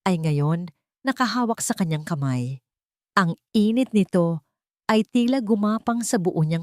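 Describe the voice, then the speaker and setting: A woman's voice: medium pitch, darkish tone, no room sound, studio quality. A woman storyteller narrating a scripted romantic story.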